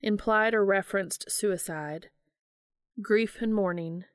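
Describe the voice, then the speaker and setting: A woman's voice: medium pitch, takes it slowly, dry recording, clean studio sound. A woman reading a story aloud in audiobook-style narration, as the reader of a fiction podcast.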